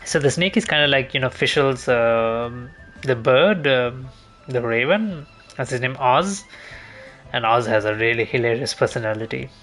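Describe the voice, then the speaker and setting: A man's voice: medium pitch, unhurried, a bit bright, faint music behind. A man giving casual gameplay commentary as a streamer, rambling and hesitant, often repeating himself. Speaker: game streamer.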